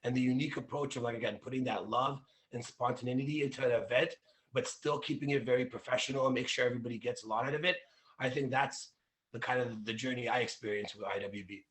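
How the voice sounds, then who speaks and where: A man's voice: mid-range voice, fairly even intonation, fast talker, a bit bright, low-quality recording. A young man from Russia, a crypto public speaker, chatting casually as a panel guest and rambling with lots of fillers.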